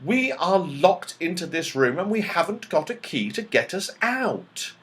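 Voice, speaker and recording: A man's voice: high voice, very animated, a little slow, a bit bright, voice pushed up, heavily acted. A man voicing a practical, rather gloomy boy in a children's storybook, in a matter-of-fact way. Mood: exasperated.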